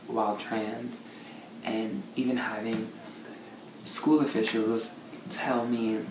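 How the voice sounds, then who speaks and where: A young woman speaking earnestly and reflectively in a documentary interview. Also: extremely low pitch. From born in Maryland, raised in Puerto Rico and Brooklyn. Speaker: interviewee, artist and poet. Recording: roomy sound with music underneath.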